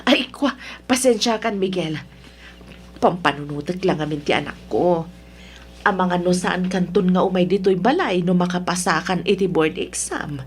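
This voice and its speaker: A woman's voice: on the low side, moderate pace, a little hiss or hum, lightly acted. A woman with an Ilocano Filipino accent playing a nagging, scornful middle-aged mother-in-law in a radio drama, sarcastic and demanding. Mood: prying, condescending. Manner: berating, sarcastic.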